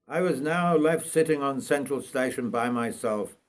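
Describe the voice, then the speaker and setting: An older man from North Yorkshire reads his own memoir aloud in a measured, reflective storytelling manner. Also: mid-range voice. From North Yorkshire, England. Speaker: writer reading own memoir. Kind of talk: scripted autobiographical storytelling.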